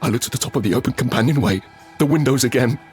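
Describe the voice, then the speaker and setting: An actor playing a desperate, vengeful father who narrates his post-apocalyptic survival in an intense, brooding, deliberate manner. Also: mid-range voice, a little mellow, soft background music, natural voice. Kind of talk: first-person scripted audio drama narration.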